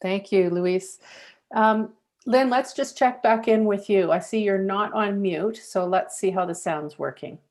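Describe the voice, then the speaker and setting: A woman moderating an online panel, calling on speakers calmly and patiently over a video call. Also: mid-range voice, measured pace. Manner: calm, patient, facilitating.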